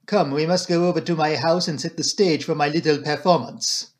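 A man's voice: on the high side, fairly quick, close to an everyday voice. A man voicing a fussy, proud, courteous detective in an audiobook, with a French accent and formal, theatrical phrasing. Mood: measured, kindly.

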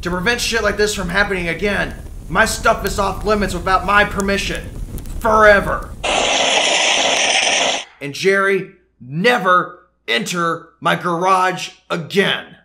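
Casting: crude old mad scientist grandpa, high gravelly cartoon voice, forceful and boastful. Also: male voice, unhurried, slight background noise, full character voice, poor audio. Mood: scornful. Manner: brash, blunt, swearing. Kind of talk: fan-made cartoon audio drama.

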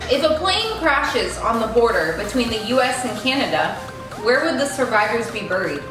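A woman's voice: on the high side, very animated, normal speed. An American woman in her twenties hosting a casual question-and-answer chat while eating. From United States. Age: 20s. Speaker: vlog host. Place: indoor room at a table. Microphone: camera a few feet away. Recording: very echoey room, distant mic, music behind.

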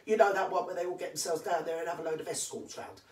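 A vlogger with a London accent, rattling off comic celebrity gossip, answering their own questions and dropping into hushed asides. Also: fairly even intonation, fast. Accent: London English. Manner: drops to hushed asides, jumps to emphatic high yeses. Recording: echoey room, voice far from mic.

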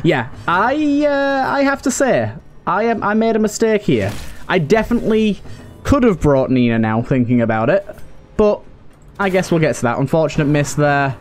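A man's voice: high-pitched, very animated, moderate pace. A man giving casual let's play commentary, muttering to himself as he thinks through his moves. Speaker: gaming video host. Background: game music.

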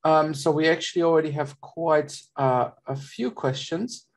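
Hesitant moderator reading questions on a video call. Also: male voice, a little high, normal speed, gravelly. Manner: hesitant, many fillers.

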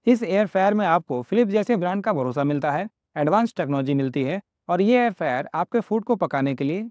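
A man in his thirties with an Indian accent, presenting a product review and then a recipe walkthrough in an upbeat sales pitch. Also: a little mellow, no echo, clean studio sound. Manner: upbeat salesy pitch, addresses viewers as friends. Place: small home office room indoors. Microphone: lapel mic.

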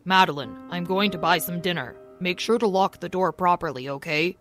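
A woman's voice: lowish voice, normal speed, a bit bright, natural voice. Woman doing a teasing young man. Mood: calm. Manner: teasing, boastful. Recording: faint music behind.

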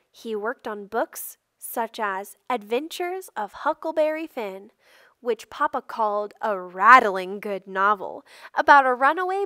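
A woman reading a children's picture book aloud with careful, expressive storytelling delivery. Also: a little high, dry recording, clean studio sound. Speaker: storybook reader.

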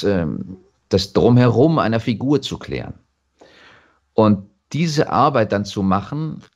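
A man with a German accent talking reflectively as an interview guest, thoughtful and halting as he restarts his phrases. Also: deep voice, a little mellow, highs cut off.

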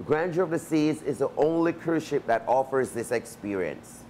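A man's voice: on the high side, expressive, normal speed, a little mellow, a little hiss or hum. A man presenting to camera from a dining table in a ship's galley in an upbeat, promotional way. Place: ship galley dining area.

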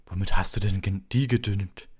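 A man's voice: low-pitched, fairly even intonation, moderate pace, darkish tone, husky, voice pushed up. A man voicing an earnest, easily flustered schoolboy with a protesting manner in a storybook. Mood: indignant.

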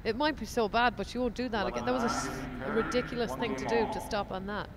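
A woman's voice: a little high, steady tone. A woman adding expert colour commentary on a squash match, chatty and frank in her opinions. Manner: chatty, frank analysis with quick agreement. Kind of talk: sports commentary. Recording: commentary over loud arena crowd noise.

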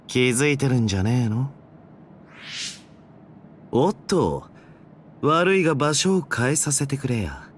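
A man's voice: mid-range voice, expressive, measured pace, pauses often, slight background noise, close to an everyday voice. A man voicing a flippant, cynical young assassin in a Japanese video game, with a slangy, teasing drawl. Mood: casual, evasive.

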